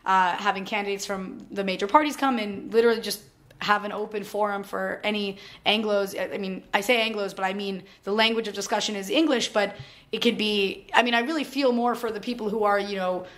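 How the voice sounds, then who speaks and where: A woman's voice: medium pitch, fairly even intonation, fairly quick, a bit bright. A woman answering interview questions confidently, talking freely with "like" and "I mean". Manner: confident, talkative, uses "like" and "I mean". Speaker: graduate student guest.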